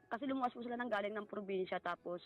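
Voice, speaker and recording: Woman, Filipino accent, mid pitch, polite and halting, personal storytelling, music behind. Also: dull audio. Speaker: guest recounting a family story. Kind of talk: personal account in conversation.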